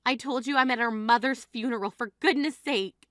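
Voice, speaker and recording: A woman's voice: a little high, moderate pace, fairly bright tone, no room sound, studio quality, lightly acted. A woman playing an exasperated, grieving sister who scolds in an incredulous, reproachful way in a dramatized story. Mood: appalled. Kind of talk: dramatized phone-call story dialogue.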